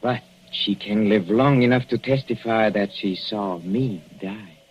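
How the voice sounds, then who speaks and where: An actor playing a sadistic middle-aged professor with a Central European accent, mocking and chuckling with purring menace in a 1940s radio play. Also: male voice, on the low side, fairly even intonation, unhurried, close to an everyday voice. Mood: gloating. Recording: lo-fi vintage radio recording, faint hiss.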